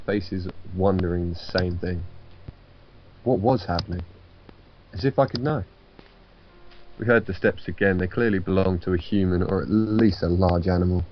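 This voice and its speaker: A man reading a visual novel's narration out loud in a casual way, now and then restarting a line. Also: deep voice, dull audio, slight background noise. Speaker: guest co-reader on gaming video.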